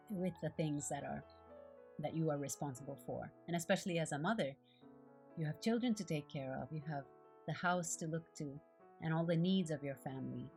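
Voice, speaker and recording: A middle-aged woman, a native Japanese speaker from Japan, giving a calm, gentle devotional voice-over as she makes an art journal. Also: sits low. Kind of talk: reflective devotional voice-over while crafting. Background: faint guitar music.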